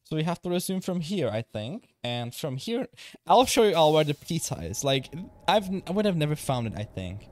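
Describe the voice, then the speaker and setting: A man's voice: on the high side, sing-song, normal speed, a little mellow. A young man from the Czech Republic with a Czech accent, a streamer chatting casually, reading chat aloud and swearing now and then. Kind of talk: casual live-stream chat while gaming.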